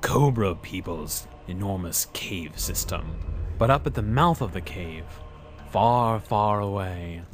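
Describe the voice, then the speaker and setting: A man's voice: low voice, slow pace. A man narrating an adventure serial in a dramatic, old-time style, melodramatic and suspenseful. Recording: prominent music behind the voice.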